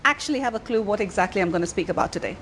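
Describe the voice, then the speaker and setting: A woman's voice: medium pitch, animated, rapid-fire, fairly bright tone, a little hiss or hum. A woman from India giving an interactive mindfulness talk to parents in a school auditorium, encouraging and asking the audience questions. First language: an Indian language. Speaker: mindfulness coach. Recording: echoey hall, faint room ambience.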